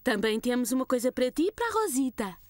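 A woman's voice: on the high side, very animated, fast talker, dry recording, clean studio sound. An actress with a European Portuguese accent voicing a loving young mother in a children's cartoon.

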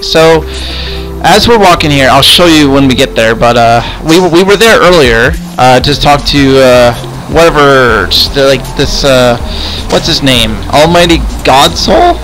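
A man's voice: mid-range voice, animated, unhurried, a bit bright, faint music behind, poor audio. A man playing a friendly adventurer in an improvised Minecraft roleplay, hesitant and often repeating himself. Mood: explaining, curious. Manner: hesitant, repetitive, stammering delivery. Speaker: roleplay video host and player.

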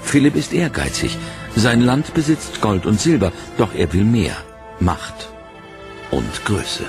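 Gravelly male documentary narrator over music. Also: on the low side, big pitch swings. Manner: dramatic, measured storytelling.

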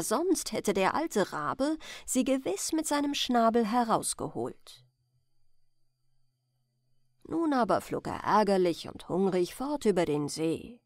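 A woman with a slightly high voice narrates a bedtime story for an audiobook. Her delivery is expressive, with plenty of melodic movement. The recording is close and dry. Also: dry recording. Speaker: audiobook reader. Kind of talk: scripted bedtime fairy-tale narration.

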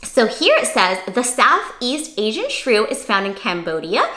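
A young adult woman reading a picture book aloud to young children in an animated, exclamatory, questioning way. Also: a little high, unhurried. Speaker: children's read-aloud host. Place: small cozy indoor room. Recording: roomy indoor echo.

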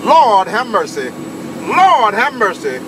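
A man's voice: high-pitched, very animated, slow pace. A man bantering on CB radio in a teasing, repetitive, whooping manner. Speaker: CB radio operator. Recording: loud engine-like radio noise.

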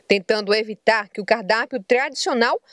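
A young Brazilian woman reporter narrating a news story with clear, measured delivery. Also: on the high side, fairly bright tone, no echo, studio quality. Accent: Brazilian Portuguese.

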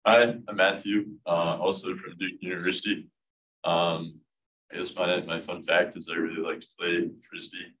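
Very deep, bright-toned man. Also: little pitch movement, moderate pace, rough recording. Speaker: summer school student. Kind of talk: self-introduction.

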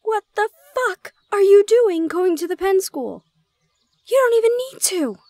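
A woman playing a shy, easily flustered young gorgon student in an audio roleplay, speaking in halting, exclamatory questions. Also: very high voice, expressive, darkish tone, no room sound, studio quality, full character voice. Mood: flustered, incredulous. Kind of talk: audio roleplay drama acting.

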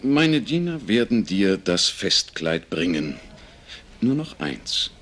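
A husky caliph from a fairy-tale puppet play. He speaks unhurriedly, commanding and playful, with theatrical swoops in his voice. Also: sits low, sing-song, takes it slowly, a bit bright, heavily acted.

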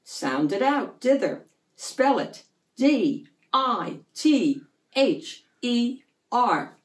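A woman voicing a bright, eager, courteous young schoolgirl in a storybook, speaking earnestly and carefully. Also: on the high side, very animated, very slow, pitched above the performer's own voice, heavily acted. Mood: careful, nervous. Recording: roomy echo, distant microphone.

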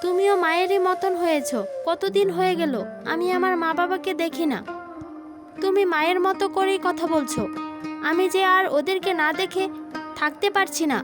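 An extremely high-voiced young village wife played by a woman, dutiful but put-upon. She speaks plaintively in a cartoon family drama, over clearly audible music. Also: steady tone, normal speed. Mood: aggrieved. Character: overworked, aggrieved young village daughter-in-law, dutiful.

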